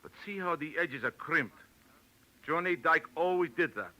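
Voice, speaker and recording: An American actor playing a gruff, vengeful, suspicious racketeer boss in a 1940s radio crime drama, talking blunt slang. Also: male voice, on the high side, animated, moderate pace, bright, forceful tone, low-quality recording. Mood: certain, brooding. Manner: blunt, slangy tough-guy talk.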